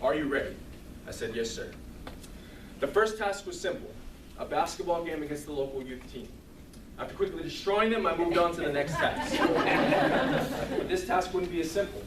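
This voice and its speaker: A man giving a humorous storytelling speech to an audience in a theatrical, deliberate comic manner. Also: fairly high pitch, animated. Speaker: speech contest contestant. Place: room with an audience. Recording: roomy, distant mic, clearly noisy.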